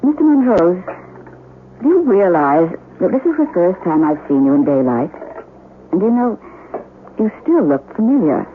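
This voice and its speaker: A woman's voice: lowish voice, sing-song, normal speed, long pauses. An actress playing a gracious, curious widowed lady of the house in a 1970s radio drama, speaking in a refined, formal way. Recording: tinny vintage radio recording, background noise.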